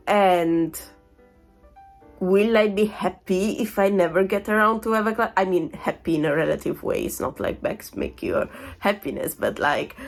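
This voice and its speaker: Woman in her thirties, lowish voice, getting over a cold, chatty rambling vlog talk, soft music underneath. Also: creaky. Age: 30s. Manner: chatty, rambling, lots of fillers and small laughs. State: recovering from a cold, voice sounds off. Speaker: vlogger. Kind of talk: casual unboxing chat.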